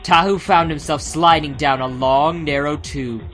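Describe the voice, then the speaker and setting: A man's voice: a little high, fairly even intonation, a little slow, a bit bright. A man giving a scripted, dramatic narration of a fantasy audiobook. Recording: music behind the voice.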